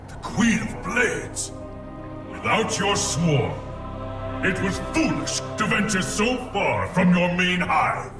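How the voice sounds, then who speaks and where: A man's voice: high voice, very animated, slow pace, exaggerated voice. A man voicing a defiant, alarmed alien warrior commander in a video game, declaiming with urgency. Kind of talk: video game character acting. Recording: echoing, distant, under loud music.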